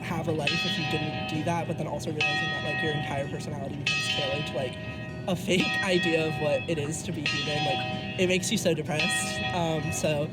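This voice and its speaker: College student, male, 19, from Connecticut; casual, "like"-heavy speech, loud music underneath. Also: a little high, fairly even intonation, fairly quick. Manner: casual, says "like" often. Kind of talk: casual interview answers.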